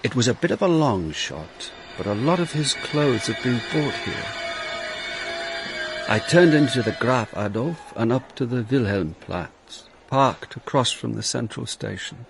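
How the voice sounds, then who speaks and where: An actor playing a shrewd, wry police inspector who narrates his case in a measured, reflective way for a radio drama. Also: mid-range voice, lively intonation, vocal fry, background noise. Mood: dry, reflective. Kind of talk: radio drama first-person narration and dialogue.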